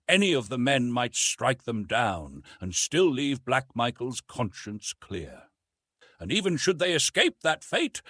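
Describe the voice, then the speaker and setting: A man's voice: mid-range voice, fairly bright tone, breathy edge, no room sound, studio quality. A man reading an adventure novel as a narrator, formal, solemn and dramatic in his storytelling. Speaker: audiobook narrator.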